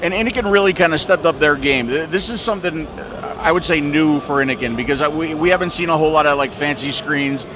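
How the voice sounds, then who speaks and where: A man's voice: fairly high pitch, fast talker, few pauses. A man hosting a vlog, chatting casually and jokingly while walking through products. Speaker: vlog host. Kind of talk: casual chat and product walkthrough. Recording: loud background noise.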